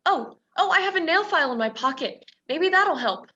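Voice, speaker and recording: A woman's voice: very high voice, expressive, moderate pace, pushed and bright, full character voice. A woman acting in a radio play as a prim, protesting young woman, a defiant and indignant reluctant captive. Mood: matter-of-fact. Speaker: student stage actor. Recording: dull, lo-fi virtual recording.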